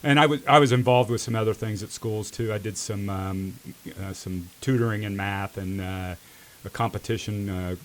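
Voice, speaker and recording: A middle-aged American man answering casually as an interview guest, reflective and halting, often repeating his words. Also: on the low side, a little hiss or hum. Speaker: volunteer mentor, interview guest.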